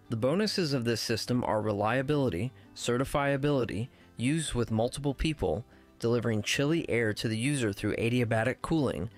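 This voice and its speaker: A man giving scripted voice-over narration for a technical how-to video, in a measured, precise, instructional way. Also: medium pitch. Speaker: tutorial narrator.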